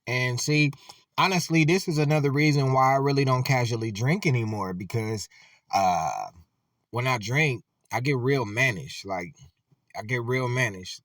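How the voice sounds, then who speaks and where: A man's voice: medium pitch, moderate pace, a bit bright, no echo. Casual man, dry sound from a distance. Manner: loose, conversational, lots of filler "like". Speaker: commentator. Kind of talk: casual reaction commentary.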